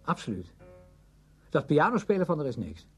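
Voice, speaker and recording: Husky, cocky orchestra conductor. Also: male voice, on the high side, big pitch swings, normal speed, soft background music. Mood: blunt, offhand. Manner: cocky, blunt, offhand. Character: brash, self-satisfied male orchestra conductor, worldly and blunt. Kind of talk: Dutch radio drama acting.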